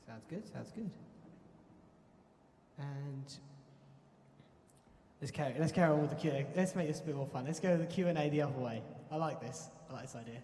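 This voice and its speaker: A man's voice: on the high side, a little fast, long pauses, darkish tone. Chatty man moderating in echoey hall. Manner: chatty, laughing often. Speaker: panel moderator. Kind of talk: casual panel Q and A. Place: convention hall stage.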